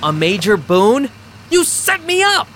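A man's voice: high voice, wildly swooping pitch, unhurried, fairly bright tone, a little hiss or hum, full character voice. An actor playing a weary, rattled vampire club DJ who narrates his night in a wry first-person audio drama style. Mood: exasperated. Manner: wry, sardonic. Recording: music behind the voice.

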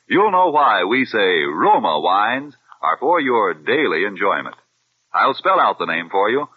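Male radio announcer, scripted sponsor ad, deliberate delivery, breathy voice, lively pitch, thin tinny old broadcast. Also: no room sound.

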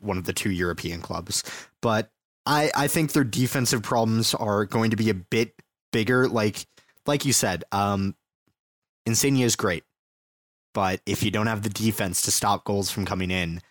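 A man's voice: lowish voice, normal speed, fairly bright tone, dry recording, clean studio sound. A young man co-hosting a casual soccer preview, speaking hesitantly with many fillers and stammers. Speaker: podcast co-host.

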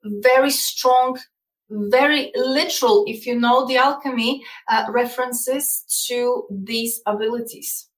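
A woman's voice: fairly high pitch, steady tone, slow pace, echoey room, recorded from a distance, low-quality recording. A woman with a Polish accent, talking as a guest in an interview, explaining earnestly and often repeating words. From Poland. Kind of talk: casual interview talk.

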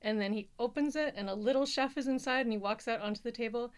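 A woman's voice: a little high, fast, clear, resonant tone. A woman in her thirties co-hosting a casual chat podcast, playful and laughing often. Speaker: podcast co-host, pattern maker.

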